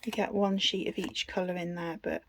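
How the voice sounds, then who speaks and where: A woman's voice: on the low side, flat delivery, brisk, a bit bright, slight background noise. A British woman giving a casual, unscripted craft tutorial in a chatty, self-deprecating way. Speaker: craft video host.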